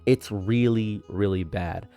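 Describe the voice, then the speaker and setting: Young male commentary creator, low register, casual and emphatic delivery, soft music bed underneath. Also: slow pace. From Egypt, moved to America at age eight. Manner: emphatic, conversational, repeats phrases for emphasis. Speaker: streamer and commentary video creator. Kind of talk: casual political commentary with article read aloud.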